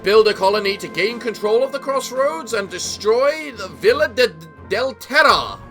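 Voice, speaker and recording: A young English man giving casual let's-play commentary in a theatrical gentleman persona, a little sick, with a voice that sounds off. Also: high-pitched, animated, normal speed, faint music behind. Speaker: gaming YouTuber.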